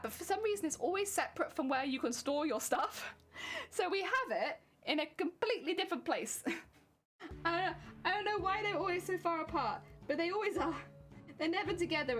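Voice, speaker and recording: A woman chatting casually on a livestream, explaining her sewing steps as she works and laughing often. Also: high voice. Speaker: cosplay crafting streamer.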